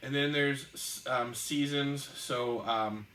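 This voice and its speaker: A man co-hosting a casual podcast, explaining board game rules in a halting way with lots of ums and restarts. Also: medium pitch, fairly even intonation, sharp tone. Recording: echoey room, distant mic.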